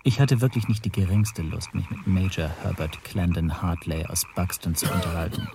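An actor playing a reserved first-person narrator in a radio drama, telling the story in a measured, understated way. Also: male voice, sits low, creaky, noisy background. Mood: detached, reserved.

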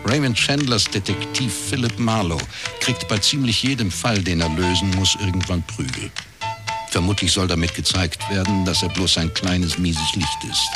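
An actor playing a wry, laconic private detective with a husky voice, in radio crime drama narration and dialogue. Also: male voice, deep voice, moderate pace, music in the background, natural voice. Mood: wry, reflective. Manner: dry, deadpan, understated. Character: wry, laconic male East Berlin private detective, ex-academic.

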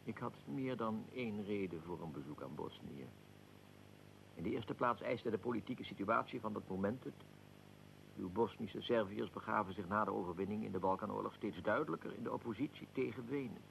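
An actor playing a proud, aggrieved middle-aged archduke in a radio drama, speaking formally and deliberately with a gravelly voice. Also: male voice, on the low side, fairly bright tone, slight background noise, poor audio. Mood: dignified, explanatory. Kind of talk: radio drama, historical figure being interviewed.

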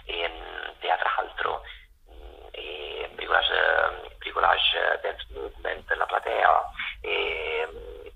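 A man interviewed on the radio over a noisy phone line, halting and searching for words as he talks. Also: low-pitched, little pitch movement, creaky, bright, forceful tone, breathy edge. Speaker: theatre festival organiser, radio guest.

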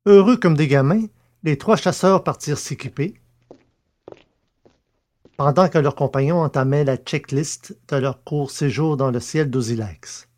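A man's voice: a little high, measured pace, a little mellow. A man narrating an audio fiction story, reading scripted prose as a storyteller.

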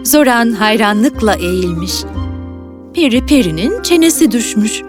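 A woman's voice: on the high side, very animated, unhurried, over music. A woman narrator reading a scripted fairy tale in storybook style.

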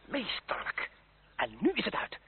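An actor playing an excited, admiring gentleman onlooker in an old radio play. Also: high-pitched, measured pace, narrow, tinny audio, exaggerated voice. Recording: old radio recording, thin, very noisy.

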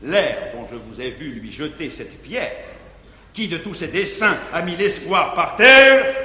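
An actor playing a jealous, domineering middle-aged guardian, declaiming French verse on a theatre stage in a 1950s live recording. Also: male voice, lively intonation, measured pace, sharp tone, full character voice. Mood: smug. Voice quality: declamatory, booming. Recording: echoey theatre hall, faint hiss, 1959 live stage recording.

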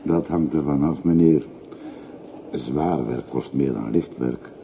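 A man's voice: extremely low pitch, slow pace, gravelly, heavily acted. An actor playing an older working man in a radio play, curious and courteous, asking polite, probing and hesitant questions. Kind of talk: radio drama acting. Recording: muffled, noisy old radio play recording.

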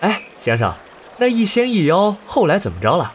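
An eager, good-natured regular at a storyteller's teahouse. His voice is a bit high and very lively, in audio drama style with faint ambient noise. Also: moderate pace. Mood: jovial, eager. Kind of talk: Chinese audio drama acting.

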